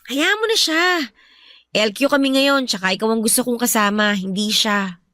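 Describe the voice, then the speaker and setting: An actress playing an evasive young lover seeking forgiveness, speaking with a Filipino accent in a coaxing, apologetic way in a radio drama. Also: female voice, fairly high pitch, expressive, normal speed, sharp tone. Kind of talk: radio drama acting in Filipino love story.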